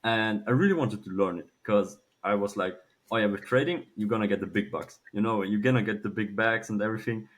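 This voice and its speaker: A man, telling a personal story casually and haltingly, with some swearing. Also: lowish voice. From Switzerland. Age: early 20s. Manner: halting, informal, swears freely. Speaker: podcast guest, young entrepreneur.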